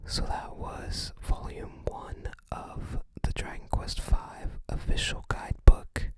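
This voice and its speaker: An ASMR host speaking in a breathy, unvoiced whisper, musing aloud in short, casual fragments while looking through a book. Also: slow pace.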